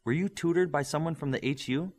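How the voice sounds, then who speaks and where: A man's voice: a little high, brisk, vocal fry, darkish tone, pitched above the performer's own voice. A man reading the part of a worried mother, asking anxious questions in a somewhat raised voice. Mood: concerned. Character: worried mother of a superpowered boy.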